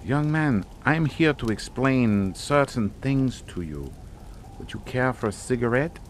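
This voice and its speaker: Male actor for a courtly, menacing count in radio suspense; formal, deliberate, richly varied melody. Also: medium pitch, animated, a little hiss or hum, close to an everyday voice. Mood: ominous, measured. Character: suave, sinister aristocratic Hungarian count and uncle. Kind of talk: radio suspense drama acting.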